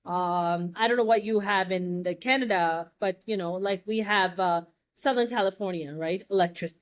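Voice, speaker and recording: A young adult American woman telling stories in a casual chat, lively and blunt, joking and swearing freely. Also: medium pitch, normal speed. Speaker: guest.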